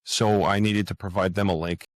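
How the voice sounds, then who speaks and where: A man's voice: sits low, flat delivery, moderate pace, no echo. A middle-aged man chatting casually as an interview guest, hesitant and often repeating his words. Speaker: fiction author, interview guest.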